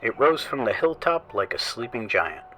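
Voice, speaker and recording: A man hosting a horror story show, reading scripted tales in a slow, theatrical voice that often drops into hushed whispers. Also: medium pitch, very animated, faint music behind. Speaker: horror show host and narrator.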